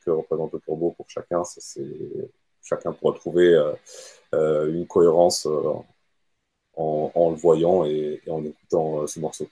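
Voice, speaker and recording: Super deep husky guy, russian native speaker, talks slow and thoughtful, lots of ums. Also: dark tone, poor audio. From Kazakhstan. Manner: thoughtful, hesitant with many fillers. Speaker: band musician. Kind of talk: interview answers.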